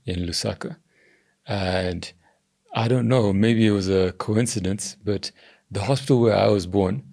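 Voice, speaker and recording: Man from Zambia, low and gravelly, measured, podcast guest. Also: mellow. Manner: measured, hedging with "I think" and "you know". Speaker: podcast guest, governance strategist. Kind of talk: thoughtful interview answers.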